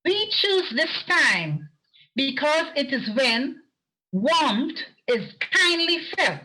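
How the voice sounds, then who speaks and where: A woman acts out a genteel charity lady in a radio play. Her voice is bright and highish, with a lively rise and fall. She makes each appeal slowly and politely, cheerful and full of earnest purpose. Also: heavily acted, low-quality recording. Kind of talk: amateur radio play acting. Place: performed remotely over a video call. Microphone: video call.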